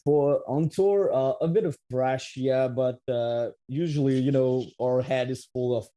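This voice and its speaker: A man's voice: mid-range voice, fairly even intonation, a little slow, warm tone. A man in his thirties with a Québécois French accent, a metal musician answering interview questions in a rambling way, full of restarts and fillers. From Quebec, Canada. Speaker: metal band musician, guest.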